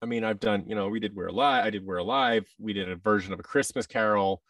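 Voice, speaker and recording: A man in his thirties with an American accent, chatting casually in an interview with halting, restarted phrases. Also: lowish voice, a bit bright, no room sound, recorded from a distance. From United States. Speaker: guest, voice actor and audio drama producer. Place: large office room, indoors. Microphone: headset with boom-arm microphone on a video call.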